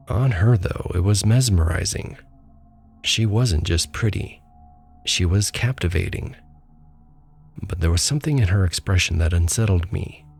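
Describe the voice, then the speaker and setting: A man narrating a first-person ghost story in a calm, measured storytelling voice. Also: sits low, creaky. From small town abroad. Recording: faint music bed behind.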